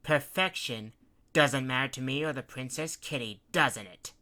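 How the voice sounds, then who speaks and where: A man voicing a scheming female cat queen who coos and taunts in a hushed, silky way. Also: medium pitch, normal speed, lightly acted. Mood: possessive.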